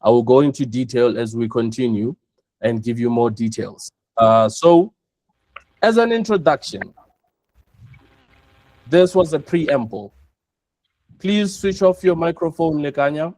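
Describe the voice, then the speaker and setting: A South African man hosts an online webinar over a video call. His delivery is measured and he repeats key points for emphasis. The sound is dry and close, with a mid-range voice. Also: medium pitch, a little mellow, no room sound. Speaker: webinar host, operations chief.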